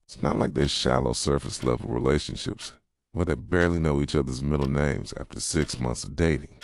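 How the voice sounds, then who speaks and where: A man playing an obsessive, hurt, manipulative boyfriend in an intimate ASMR roleplay, speaking softly and insistently close to the microphone. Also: fairly even intonation, brisk, no room sound. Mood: insistent, possessive. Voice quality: soft, low, breathy at times. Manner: intimate, insistent, pleading and accusing. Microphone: close microphone, dry sound.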